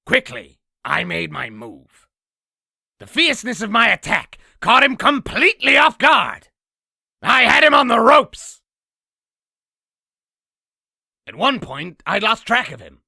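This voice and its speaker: A man voicing a boastful raspy demon, telling an exaggerated battle story theatrically for a comic dub. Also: very high-pitched, very animated, a little slow, bright, forceful tone, no room sound, clean studio sound, heavily acted. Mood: gloating. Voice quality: raspy, gravelly. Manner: theatrical, self-aggrandizing. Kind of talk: comic dub character narration.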